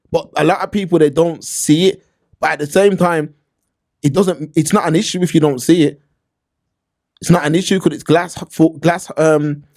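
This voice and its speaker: London male podcast host, slightly high voice, casual slang, emphatic delivery, opinionated, repetitive for stress. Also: darkish tone.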